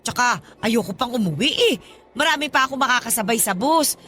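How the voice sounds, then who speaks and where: Older freeloading godmother, high bright voice, pushy, wheedling; radio drama. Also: animated, fairly quick. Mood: demanding.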